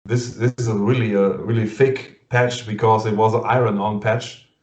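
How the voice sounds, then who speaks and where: A man with a German accent interviewing a guest over a video call in English, halting and full of fillers and restarts, laughing often. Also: on the low side, fairly even intonation. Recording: muffled lo-fi sound in an echoey room.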